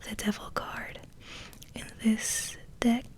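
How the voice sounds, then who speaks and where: A woman giving a soft, breathy, whispered ASMR ramble as host, in a gentle, unhurried, musing way.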